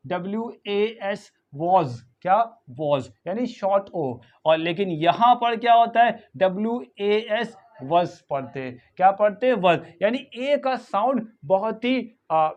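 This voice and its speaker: A man's voice: high-pitched, dull audio. A man with an Indian accent teaching English pronunciation, spelling out words letter by letter and asking the viewer questions over and over. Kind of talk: slow spoken lesson mixing Hindi and English.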